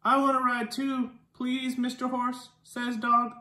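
Storytime reader for young children: unhurried, expressive with dialogue, recorded in an echoey living room. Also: mid-range voice. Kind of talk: children's picture book read-aloud. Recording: roomy indoor echo.

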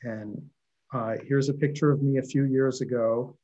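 An older man presents a lecture from his home office through a webcam on a video call. He talks in a relaxed, conversational way, with a mid-range voice and the odd filler as he moves through his slides. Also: mid-range voice, normal speed, highs cut off. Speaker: dental school lecturer presenting.

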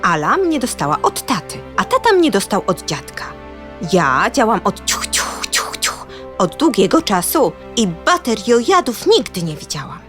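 A woman voicing a staid, proud old toy train in a children's audio play, calm, with whispered chuffing between phrases. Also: medium pitch, moderate pace, faint music behind. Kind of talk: children's audio drama acting.

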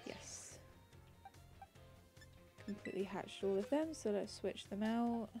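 A woman's voice: mid-range voice, takes it slowly, gravelly, mellow, soft background music. Congested woman, rambling game chat. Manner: rambling, many ums and restarts. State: congested, slightly raspy with a cold. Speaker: gaming channel host. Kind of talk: casual gameplay commentary.

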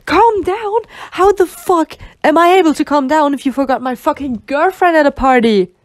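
A woman's voice: sits high, moderate pace, no room sound, natural voice. A woman acting a protective, exasperated, foul-mouthed twin sister who is tender with her girlfriend, in an ASMR roleplay. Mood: angry, bossy. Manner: sweary, sighing, half-muttering. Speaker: roleplay voice actor.

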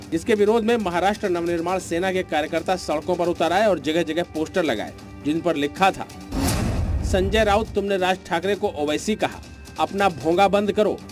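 A man reading a scripted news package with dramatic, punchy delivery. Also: sits high. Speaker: news report narrator. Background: light news music.

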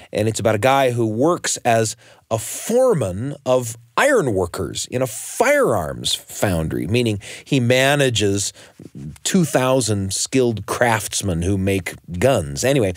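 A man's voice: mid-range voice, dry recording, clean studio sound. A man hosting a radio show with scripted narration, leaning on words for emphasis.

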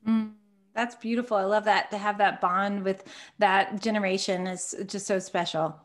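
A woman's voice: medium pitch, level tone, normal speed. A woman in her thirties hosting a podcast, chatting casually over a video call with a headset from a small room at home, laughing often and offering encouraging interjections. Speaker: podcast host.

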